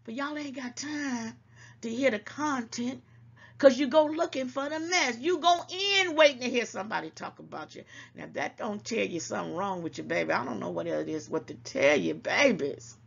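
A woman's voice: a little high, expressive, normal speed, vocal fry, husky, lo-fi sound. An older woman hosting an online talk show, scolding and preaching to her viewers and calling them baby, at times a little congested. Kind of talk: casual commentary to viewers.

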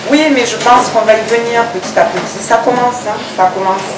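A woman in her thirties explaining her project in a conversational interview, pausing often between short phrases. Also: fairly high pitch. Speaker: restaurant owner. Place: restaurant terrace, dining room and working kitchen. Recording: distant, echoey, loud background noise.

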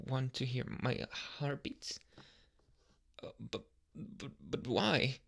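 Man playing a nervous, stammering tsundere anime boy, slow-paced. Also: mid-range voice, vocal fry, exaggerated voice. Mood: shy, flustered. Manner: stammering, flustered, hesitant with nervous laughs. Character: nervous tsundere teenage anime boy, flustered secret crush. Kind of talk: intimate ASMR boyfriend roleplay.

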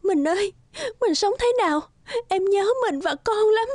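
A woman's voice: very high voice, moderate pace, a little mellow, no room sound, clean studio sound. A woman voicing a sorrowful, loving young village wife in a fairy-tale cartoon, plaintive and tearful. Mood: tearful, pleading. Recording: music under the voice.

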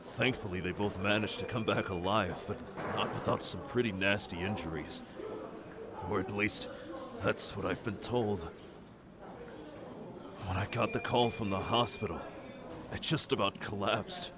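An actor playing an anxious, kindly middle-aged mentor in an anime comic dub, speaking earnestly and haltingly with worry. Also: male voice, lowish voice, a crowd in the background, lightly acted. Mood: worried, sorrowful.

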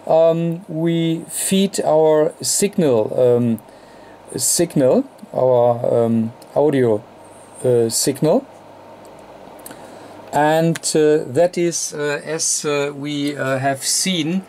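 A man hosting an unscripted technical demonstration at an indoor workbench, speaking haltingly with frequent hesitations mid-phrase. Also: fairly high pitch, warm tone, a little hiss or hum. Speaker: electronics tutorial host.

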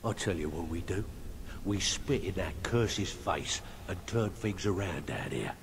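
Shady middle-aged fixer, deep and gruff, fantasy game, shrewd, plain-spoken, noisy background. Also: male voice, expressive, unhurried. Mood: conspiratorial. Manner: blunt, colloquial, streetwise. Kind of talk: fantasy video game dialogue acting. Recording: noisy game capture with ambience.

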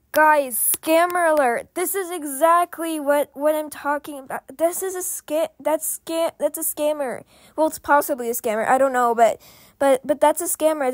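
A high-pitched young girl talks her way through a game while sick, her voice a bit off. She stammers and repeats herself, speaking slowly and unhurried, with soft game music playing behind her. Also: no echo. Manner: hesitant, stammering, repeating words. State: sick, voice sounds off. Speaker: gaming channel host. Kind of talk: casual gameplay commentary.

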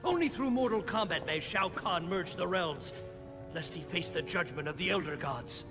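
An actor playing a solemn thunder god, desperate to protect Earth, in a formal, declamatory video game style. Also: male voice, high voice, lively intonation, moderate pace. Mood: urgent, commanding. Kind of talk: dramatic video game cutscene acting. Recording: game cutscene with music underneath.

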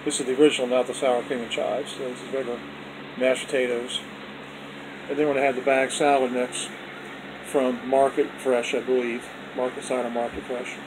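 A man's voice: mid-range voice, level tone, brisk, background noise. A man hosting a casual home video showing his dinner, talking in a folksy, repetitive way and closing with religious blessings. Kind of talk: casual off-camera food vlog. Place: indoors at home, dining table.

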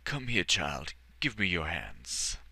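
A man voicing a serene, kindly old male archmage who speaks slowly, gently and measuredly in a storybook reading. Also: deep voice, big pitch swings, vocal fry, dry recording, heavily acted. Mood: gentle, welcoming.

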